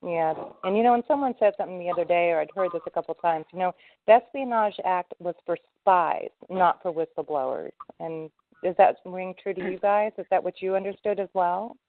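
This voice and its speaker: A woman's voice: fairly low pitch, fairly quick. A woman hosting a call-in conference, moderating in a casual, conversational way over the telephone. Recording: muffled phone conference line.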